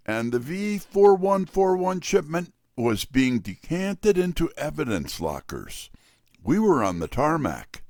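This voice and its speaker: An actor playing a wry detective's assistant, narrating a scripted mystery in the first person with measured, dry delivery. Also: male voice, mid-range voice, no echo. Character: wry male detective's assistant telling the story.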